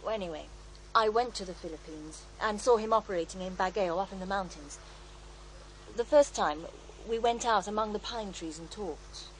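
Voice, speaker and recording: A woman's voice: medium pitch, moderate pace, natural voice. Serene faith healer woman, played by an actress, noisy recording. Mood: wistful. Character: serene devout female faith healer, former child therapist, wistful. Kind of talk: naturalistic radio drama acting.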